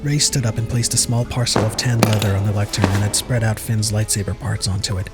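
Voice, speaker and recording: A man's voice: on the low side, steady tone, brisk. A man narrating a fan audio drama in a scripted, dramatic storybook style. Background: prominent music.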